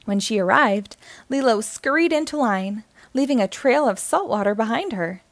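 A woman reading a children's storybook aloud in an expressive, animated storytelling style. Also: on the high side, normal speed, no room sound. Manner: animated, acting out dialogue.

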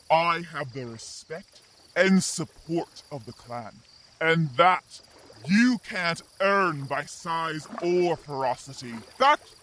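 A man's voice: fairly high pitch, fairly bright tone, slight background noise. A man playing a giant talking sea lion in a dramatic fantasy audio story. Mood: nervous, pleading. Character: giant sea lion creatures, stern elder and pleading underling. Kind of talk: dramatic audio fiction acting.

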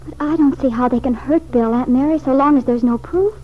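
A high-pitched, fragile young wife, acted by a woman in a classic radio soap. She sounds lovesick, hesitant and pleading. The old recording is muffled with faint noise. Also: a little fast, natural voice. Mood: dismayed, pleading. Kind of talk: vintage radio soap opera acting.

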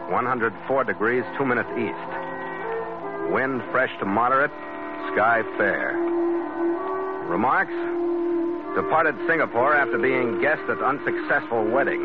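Brisk sea captain played by a man, old radio. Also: medium pitch, lightly acted. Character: brisk, steady sea captain narrating his own log. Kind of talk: 1940s radio adventure acting. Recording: dull old radio recording, loud music behind.

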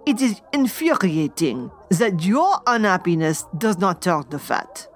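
A woman's voice: lowish voice, very animated, measured pace. A woman playing a worldly, practical French friend with a French accent in an audio drama. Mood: teasing, practical.

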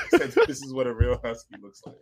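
A man's voice: medium pitch, moderate pace, a loud crowd. A man co-hosting a podcast, bantering playfully in loose slang. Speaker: podcast co-host. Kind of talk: casual chat.

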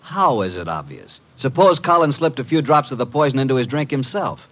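Unflappable male sidekick and narrator for vintage radio mystery, medium pitch, very lively intonation, sardonic. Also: fairly quick. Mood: matter-of-fact. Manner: breezy, sardonic, mock-polite. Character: wry, cheeky male detective's assistant, streetwise and unflappable. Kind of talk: 1950s radio drama acting and narration.

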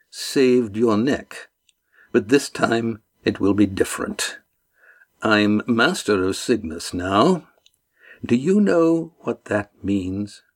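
Male narrator as menacing ship's master, smooth, taunting, overbearing, storybook villain. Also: mid-range voice, exaggerated voice. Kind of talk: audiobook character dialogue.

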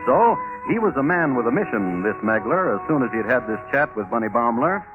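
A man's voice: medium pitch, very animated, lightly acted. Wry old-radio crime narrator played by a man. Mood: dramatic, deliberate. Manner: dry, deliberate, wry. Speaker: host and narrator. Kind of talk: scripted narration, 1950s radio drama. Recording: old narrowband radio recording, music behind.

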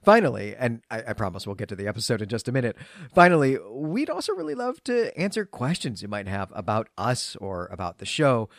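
A man speaks as the co-host of a literary podcast. His voice sits at a middle pitch, and he explains things in a chatty, conversational way. The sound is dry, with no room around it. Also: huge pitch swings, a little fast, no room sound.

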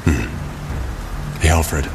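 An actor playing a brooding caped vigilante in an audio drama, speaking tersely. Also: male voice, extremely slow, big-room sound, background noise, exaggerated voice. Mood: grim. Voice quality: very deep, terse. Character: grim brooding caped vigilante, adult man.

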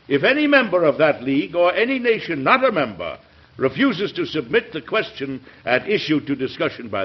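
An actor playing a weary, idealistic American President with emphatic oratory and deliberate pauses, in an old radio drama. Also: male voice, high-pitched, breathy edge, full character voice. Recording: dull, hissy old radio recording.

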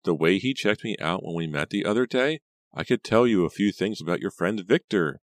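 A male narrator plays a cruel teenage girl in an audiobook. The voice is lifted above a man's low register and sounds smug and sarcastic. The words come out toying and mock-innocent, with a dry, close sound. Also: very animated, moderate pace, dry recording. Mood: sly teasing. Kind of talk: audiobook character dialogue.

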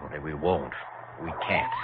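An American man acting an earnest, determined young doctor in a vintage radio drama, measured and authoritative. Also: rumbling low. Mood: grave, resolute. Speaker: actor in a radio medical drama. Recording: muffled, noisy old radio recording.

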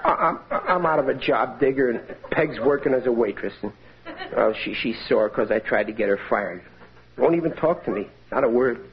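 An actor playing a hapless, blustering working-class husband in a 1940s radio comedy, halting and plaintive. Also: male voice, medium pitch, lively intonation, a crowd in the background, lightly acted. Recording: noisy muffled vintage radio recording.

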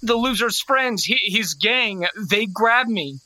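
Bright-voiced, hot-headed country boy. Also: fairly high pitch, expressive, slow pace, no room sound, exaggerated voice, rough recording. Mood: shaken. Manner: stammering, impassioned. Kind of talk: audio drama acting.

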